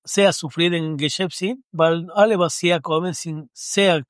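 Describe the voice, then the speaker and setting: Spanish-accented man, halting German. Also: a little high, steady tone, dry recording, clean studio sound. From Ecuador. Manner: halting, broken German with many restarts. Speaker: restaurant owner. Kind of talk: casual interview.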